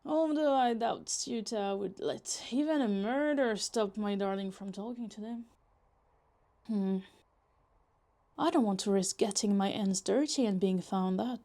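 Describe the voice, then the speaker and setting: A woman's voice: mid-range voice, creaky, dark tone. A woman voicing a possessive, obsessive young woman who schemes and muses to herself in a roleplay ASMR monologue, sighing and slipping into half-whispers. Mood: musing, coldly resentful.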